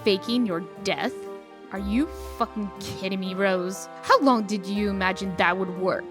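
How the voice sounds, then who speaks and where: A woman playing a stern, exasperated official in a comic dub, brisk, scolding and authoritative. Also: mid-range voice, music in the background, natural voice. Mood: indignant. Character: stern, exasperated, businesslike female city official.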